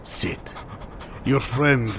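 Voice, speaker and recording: A man's voice: medium pitch, drawn-out words, background noise. A man playing a mystical, solemn mountain wise man with a mercenary streak in an improvised comedy sketch. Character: mysterious mountain wise man, serene yet mercenary healer.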